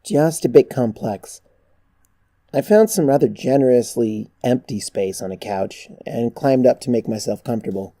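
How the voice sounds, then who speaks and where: A narrator reading a first-person story in a measured, reflective way. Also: mid-range voice, expressive, dark tone, dry recording. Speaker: narrator of fan fiction.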